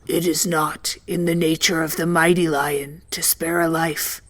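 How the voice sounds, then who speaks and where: A mighty male lion from a storybook, played by a woman, speaks in a low, grumbling voice. He is proud and hungry, and he lays out his words slowly and imperiously. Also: flat delivery, slight background noise. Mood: proud, menacing. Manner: slow, imperious, declarative.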